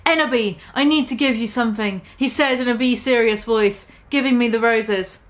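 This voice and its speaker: A young woman giving a mock-dramatic comic reading, deadpan with swearing that breaks into giggles. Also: a little high, moderate pace. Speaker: comedic reader.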